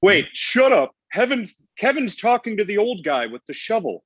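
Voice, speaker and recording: A man playing a laid-back, slangy, gruff Santa Claus in a comedy table read, heard over online call audio. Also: high voice, big pitch swings, moderate pace, fairly bright tone, lo-fi sound, heavily acted. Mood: irritated. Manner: casual slangy delivery.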